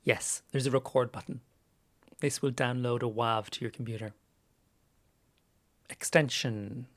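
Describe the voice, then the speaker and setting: A man presenting a casual, conversational screen-share tutorial in an informal way, with small hesitant asides. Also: medium pitch. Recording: clear background noise.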